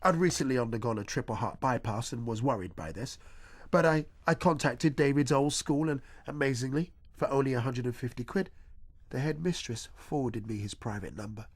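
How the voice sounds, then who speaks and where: A man playing a nostalgic, washed-up supply teacher, telling his story as a deadpan scripted comic monologue. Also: medium pitch, normal speed, no room sound, lightly acted. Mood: rueful, deadpan.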